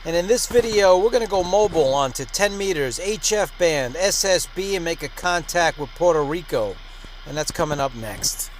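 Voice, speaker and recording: Higher-pitched man presenting an upbeat vlog. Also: lively intonation, normal speed, rough recording. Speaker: host of a ham radio channel.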